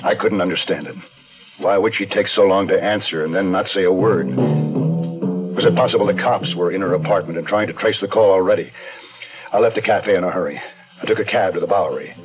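A low-pitched American man on the run, played by an actor, narrating a noir radio drama in terse, deliberate lines. The old radio recording is dull, with music clearly playing behind him. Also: close to an everyday voice. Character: tense wounded male fugitive narrating his crime story.

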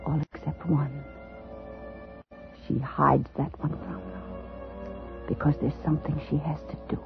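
A woman's voice: deep voice, little pitch movement, vocal fry, dull audio. Intense, unstable woman, vintage radio sound. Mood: brooding, halting. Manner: dramatic, intense, halting. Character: unstable woman named Jane telling a haunting story. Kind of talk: 1950s radio suspense acting. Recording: thin vintage radio recording with music.

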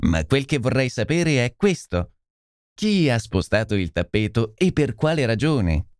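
A man's voice: mid-range voice, very animated, measured pace, a little mellow, clean tone, no echo, studio quality. A man voicing a blustering male police inspector in an audiobook, brash and needling.

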